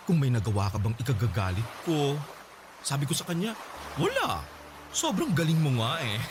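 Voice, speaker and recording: Frank, flirtatious man with swooping pitch. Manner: teasing, drawling, sprinkles English into talk. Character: frank, flirtatious wealthy man advising his paid lover. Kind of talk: Tagalog radio drama acting.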